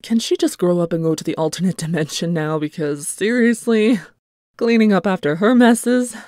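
A woman's voice: lowish voice, moderate pace, darkish tone, no echo, close to an everyday voice. A woman voicing a weary, exasperated grown-up pony princess in a cartoon comic dub, with a dry, sighing manner. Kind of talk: fan comic dub voice acting.

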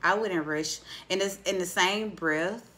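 Podcast host, woman in her late twenties, bright slightly low voice, chatty and teasing. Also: unhurried. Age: 20s. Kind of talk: casual podcast interview. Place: small indoor studio. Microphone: studio mic on boom arm.

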